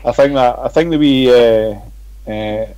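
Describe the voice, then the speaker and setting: A man's voice: medium pitch, a little slow, warm tone, no echo, low-quality recording. A Scottish man co-hosting a casual podcast, jokey and hesitant, often restarting his sentences. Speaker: podcast co-host. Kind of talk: casual chat.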